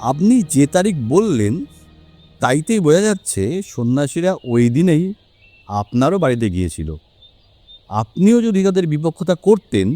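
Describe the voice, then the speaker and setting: A confident detective acted by a man in an audio drama, swinging widely in pitch on a somewhat high voice. He lays out his reasoning with deliberate emphasis. The sound is close and dry. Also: warm tone, no echo. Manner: deliberate, emphatic, theatrical phrasing. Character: confident, sharp-minded male detective explaining a case. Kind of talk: Bengali audio story acting.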